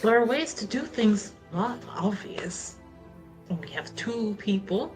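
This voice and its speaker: A woman's voice: mid-range voice, animated, takes it slowly, over music. A woman talking casually as a player in a tabletop roleplaying game.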